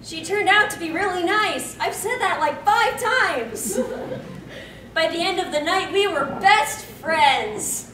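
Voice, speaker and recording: Young female panel host, very high voice, slow theatrical delivery, giggly asides, recorded far off in an echoey hall. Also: animated, fairly bright tone. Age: young adult. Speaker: fan panel host reading fan fiction. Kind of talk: dramatic fan fiction reading with joking asides. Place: convention panel room stage. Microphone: far camera. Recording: distant camera in echoey noisy hall.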